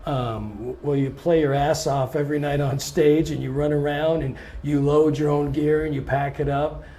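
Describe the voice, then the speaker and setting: A man's voice: fairly high pitch, normal speed. Scratchy-voiced man talking loosely. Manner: relaxed and thoughtful, many ums, restarts now and then. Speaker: touring blues singer and guitarist. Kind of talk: casual interview answers.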